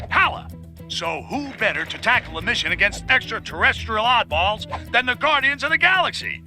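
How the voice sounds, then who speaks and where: A brash, blustering news editor. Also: male voice, sits high, sharp tone, heavily acted, poor audio. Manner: brash, sarcastic newsroom bluster. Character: brash loud-mouthed male news editor narrator. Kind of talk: scripted game narration.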